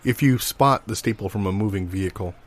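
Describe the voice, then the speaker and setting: A man with a fairly low voice riffs in improvised comedy banter. He sounds mock-earnest and keeps feeling around for the right word. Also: brisk. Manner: groping for words, mock-earnest.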